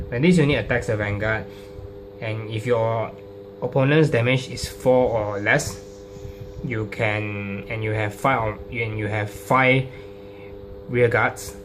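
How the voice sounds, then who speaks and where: Halting man with noisy background hum. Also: fairly low pitch, steady tone. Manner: halting, hesitant delivery with repeated phrases. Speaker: trading card deck profile presenter. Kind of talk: informal card-by-card explanation. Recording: clearly noisy recording with hum.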